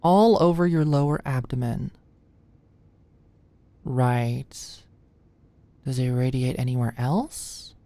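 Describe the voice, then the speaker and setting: A low, unhurried young woman's voice , performed by an actress, plays an anxious medical student in an ASMR roleplay. She is shy and flustered, hesitating and stammering as she goes. The sound is dry and intimate. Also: warm tone, no room sound, heavily acted. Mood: concerned, puzzled. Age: young adult. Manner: stammering, hesitant, muttering asides under breath. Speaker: voice actor in audio roleplay.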